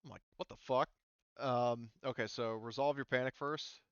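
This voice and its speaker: A man's voice: medium pitch, steady tone, moderate pace, clean tone, dry recording, highs cut off. A man running a tabletop roleplaying game as game master, casually explaining rules.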